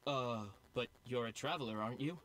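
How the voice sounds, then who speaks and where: A man's voice: mid-range voice, fairly bright tone, natural voice. A man voicing a courteous game official. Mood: formal. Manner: measured, courteous. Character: polite, formal male government official. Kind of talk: game cutscene dub.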